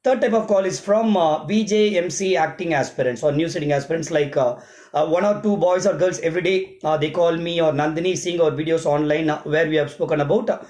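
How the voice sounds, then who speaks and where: A man's voice: fairly high pitch, brisk, darkish tone. A man with an Indian accent who works as an event emcee, explaining things informally step by step like a vlogger. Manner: repetitive, step-by-step explaining with examples. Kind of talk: informal explanatory vlog monologue. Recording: roomy, echoing sound.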